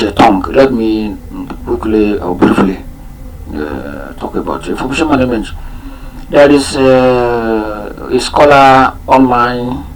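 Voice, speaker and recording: Male presenter, first language Yoruba, slow and halting, repeats himself, faint background noise. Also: lowish voice, poor audio. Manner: halting, many fillers and restarts; repeats key points. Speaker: program presenter. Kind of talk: unscripted spoken talk.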